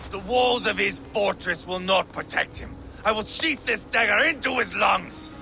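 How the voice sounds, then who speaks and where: A man playing a fierce, vengeful Viking warlord with a gravelly voice and an intense manner, in a video game. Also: sits high, normal speed, faint music behind, full character voice. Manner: intense, swinging from hushed to roaring. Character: fierce, vengeful male Viking warlord, hot-tempered and grieving.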